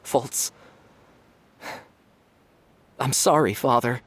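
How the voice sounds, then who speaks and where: An actor voicing a subdued, remorseful youngster in a video game, husky, resigned and halting. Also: medium pitch, fairly bright tone, full character voice. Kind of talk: dramatic video game voice acting.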